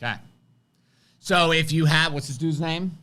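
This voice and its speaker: A man's voice: fairly high pitch, a little slow, pushed and bright. A man co-hosting a live stream, joking and teasing as he casually answers viewer questions.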